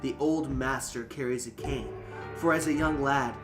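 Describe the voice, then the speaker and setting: A man's voice: mid-range voice, steady tone, moderate pace, over music. A man co-hosting a reading show, narrating a fantasy story and discussing it casually. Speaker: narrator and co-host.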